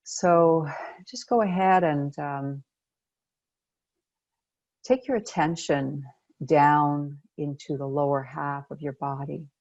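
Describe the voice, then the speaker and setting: A woman leading a guided meditation as a coach, speaking gently and unhurriedly with pauses between phrases. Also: deep voice, no room sound, muffled recording.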